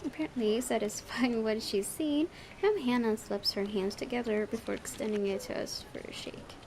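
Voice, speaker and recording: A woman's voice: on the high side, normal speed, noisy background. A woman hosting a gaming video, reading visual novel narration aloud and occasionally stumbling and correcting herself.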